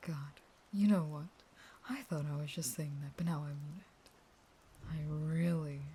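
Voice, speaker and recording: A woman playing a caged, starving vampire in an intimate audio roleplay, half-whispering in a slow, taunting, pleading and unstable way. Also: low voice, warm tone, slight background noise. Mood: furious, exasperated.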